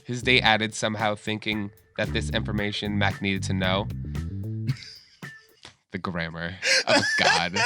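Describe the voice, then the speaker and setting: A man co-hosting a podcast in crude, excitable chat, sniffly, laughing and swearing as he reads fanfic aloud. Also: fairly low pitch, measured pace, pauses often, music in the background. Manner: profane, excitable, laughing often. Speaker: podcast co-host.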